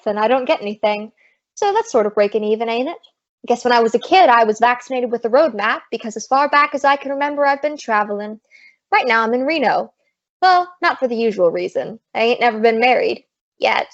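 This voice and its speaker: Woman acting a high-voiced wisecracking American showgirl. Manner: breezy, slangy, deadpan wisecracks. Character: wisecracking, street-smart, broke American showgirl Maisie. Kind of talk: 1940s radio comedy acting. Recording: dull lo-fi old-radio-style recording.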